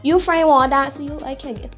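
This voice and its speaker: A woman's voice: sits high, brisk, faint music behind. A woman singer with a Barbadian accent, chatting casually in an interview and telling stories with a laugh. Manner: lively, laughing storyteller.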